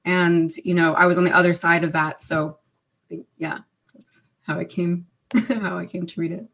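A young woman on a panel telling a personal story hesitantly, with many fillers and pauses. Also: on the low side, fairly even intonation, vocal fry, a bit bright.

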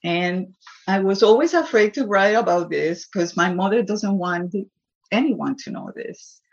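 A woman's voice: medium pitch, lively intonation, measured pace, muffled recording. A woman from Puerto Rico with a Puerto Rican accent, a native Spanish speaker, speaking as a poet telling personal stories and reading to an audience. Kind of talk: personal storytelling and poetry reading before an audience.

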